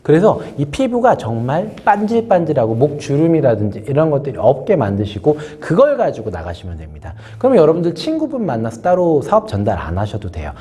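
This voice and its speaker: A man's voice: fairly high pitch, wildly swooping pitch, a little fast, few pauses, mellow. A man hosting a spoken business lesson, talking casually with many fillers and asides while nursing a sore throat from a cold. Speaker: host giving a business lesson. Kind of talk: casual spoken lecture to camera.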